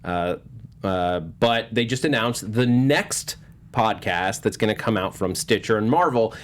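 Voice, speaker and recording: Low voiced guy hosting a movie news panel, casual, kinda halting and backtracks a lot. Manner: halting, wordy, backtracking explanations.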